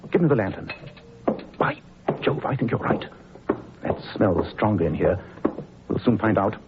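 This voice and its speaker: An actor playing a brisk, commanding male detective in a 1940s radio drama. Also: on the low side, animated, close to an everyday voice. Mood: grim. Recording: muffled old radio recording with loud hiss.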